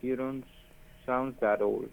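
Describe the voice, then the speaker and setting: A man's voice: medium pitch, steady tone, takes it slowly, vocal fry. A man running a scam call, insistent and repetitive, with broken phrasing. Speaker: phone scammer. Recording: tinny phone line.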